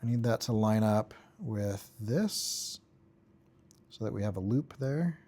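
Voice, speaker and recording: A man giving an informal software tutorial, thinking aloud in short, offhand fragments as he works. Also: lowish voice, lively intonation, slow. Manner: offhand, talks in short fragments while working. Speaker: tutorial instructor.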